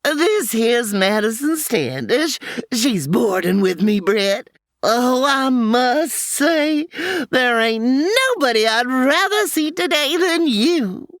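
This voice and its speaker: A woman's voice: fairly high pitch, very animated, slow pace, no room sound, studio quality. An actress playing a fretful older woman who runs a rooming house, fussing in a flustered way, in a comedy radio drama.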